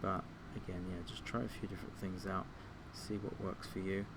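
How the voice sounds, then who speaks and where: Low-voiced man giving a tutorial, noisy recording. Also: little pitch movement, moderate pace. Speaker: music production tutor. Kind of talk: software tutorial, informal step-by-step demonstration.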